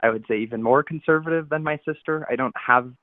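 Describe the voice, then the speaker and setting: A caller with a masculine-sounding voice, speaking haltingly with frequent restarts while confiding on a phone-in. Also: medium pitch, dry recording. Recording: tinny phone line.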